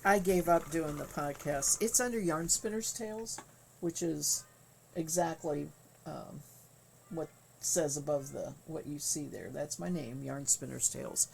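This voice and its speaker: A woman with a soft, calming voice chats slowly and easily as she spins yarn at home. Her pitch sits a little low and her talk never hurries. A clear background noise runs behind her throughout. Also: poor audio. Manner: unhurried, chatty, laughs easily. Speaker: livestream host spinning yarn. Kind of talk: casual chat with live viewers. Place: home crafting room with spinning wheel.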